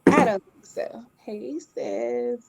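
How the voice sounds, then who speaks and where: A woman's voice: a little high, takes it slowly, vocal fry. A woman co-hosting a casual live spiritual chat, laid-back and slangy in how she talks.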